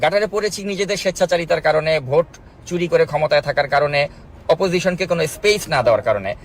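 A man's voice: fairly high pitch, fast talker. A man with a Bangladeshi accent working as a journalist, pressing insistent, challenging questions in a confrontational phone interview and often cutting in. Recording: phone interview with clear background noise.

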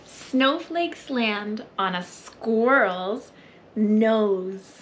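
A woman in her thirties hosting a children's story time, reading and singing expressively with hushed, breathy asides. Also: mid-range voice, expressive, extremely slow. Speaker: library story time host. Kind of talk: children's story time read-aloud and sing-along. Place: children's library room, indoors. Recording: noticeable background room noise.

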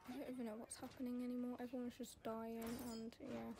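A young woman casually commenting on her gameplay, rambling and self-deprecating, with loud breathing between sentences and a slightly croaky voice. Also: on the high side, flat delivery, fast talker. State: voice going croaky. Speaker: gaming channel host. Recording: game music behind voice.

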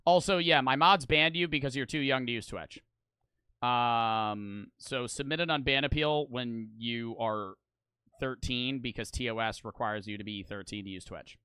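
A young man streaming casually, talking fast and animated with lots of swearing, close to the mic. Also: mid-range voice, smooth, dry recording.

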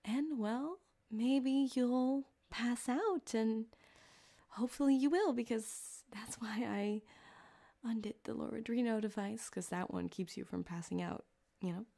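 Woman as a sadistic, flirty cyberpunk surgeon, ASMR roleplay. Teasing, close-miked, breathy with some creak. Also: fairly high pitch, a little slow, warm tone. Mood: soothing. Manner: coaxing, teasing, breathy, drifting into whispers. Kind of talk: ASMR roleplay, intimate one-sided scene. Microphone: close binaural microphone.

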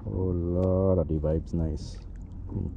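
A man's voice: low voice, steady tone, takes it slowly, creaky, warm tone, slight background noise. A man with a Trinidadian accent giving casual, laid-back vlog commentary to his viewers, recorded outdoors on an action camera. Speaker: outdoors vlogger. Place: outdoors on the water in a kayak bay. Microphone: handheld action camera.

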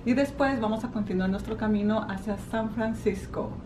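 A woman's voice: medium pitch, moderate pace, heavy background noise. A middle-aged woman hosting a family travel vlog, narrating to the camera. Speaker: travel vlogger and host. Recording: music over the voice.

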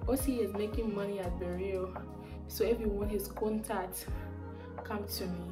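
A woman's voice: mid-range voice, steady tone, moderate pace, over music. A young woman with a Liberian English accent, chatting upbeat to her phone camera in a college dorm. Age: young adult. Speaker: vlogger. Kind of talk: casual vlog chat. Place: college dorm room and study lounge. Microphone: phone camera at arm's length.

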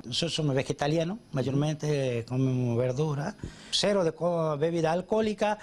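A man from India with an Indian accent, giving casual, halting interview answers. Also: on the high side, darkish tone. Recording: noisy on-location TV interview.

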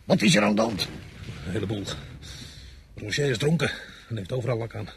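An actor playing an exasperated soldier on patrol in a radio drama, speaking urgently and bluntly. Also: male voice, on the low side, a little fast, slight background noise, lightly acted. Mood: wary. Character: tense, exasperated male soldier on night patrol.

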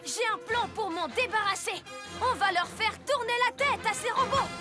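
A woman's voice: very high voice, moderate pace. A woman voicing an eager, impulsive young boy superhero in a cartoon dub, exclamatory and gung-ho. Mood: bossy, eager. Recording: music behind the voice.

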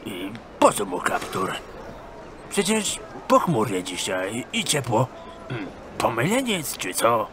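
A man's voice: medium pitch, very animated, takes it slowly, noisy background. An actor playing a grumpy, dim-witted, heavyset older man in an audio drama, blustering in colloquial street talk. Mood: puzzled.

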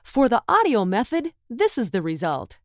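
A woman reading a scripted step-by-step software tutorial in a clear instructional way. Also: a little high, wildly swooping pitch, moderate pace. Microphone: close voice-over microphone, dry.

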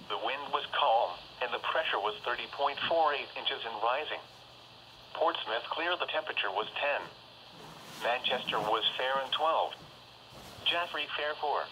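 A synthetic male announcer reads weather reports in a flat, even delivery. His bright, sharp tone comes through a small radio speaker, with faint hiss underneath. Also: mid-range voice, rough recording. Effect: played through a small radio speaker. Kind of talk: automated weather report reading. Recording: radio broadcast played from a speaker.

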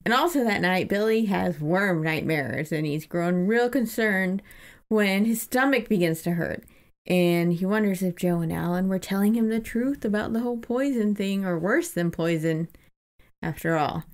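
A woman's voice: medium pitch, normal speed. A woman co-hosting a podcast, casually retelling and discussing a book in an irreverent, chatty way. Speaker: podcast co-host.

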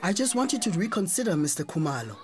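A South African woman playing a proud, pleading transgender schoolteacher with a husky voice in a radio drama. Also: on the low side, big pitch swings, fast, soft background music. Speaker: actor.

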